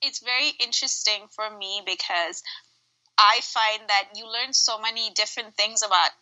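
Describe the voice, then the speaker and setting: Guest: a bright-toned woman in her thirties from Dubai, animated and chatty, dull lo-fi podcast sound. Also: mid-range voice, moderate pace. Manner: animated, chatty, laughs often. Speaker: podcast guest, home cook. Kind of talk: casual interview chat.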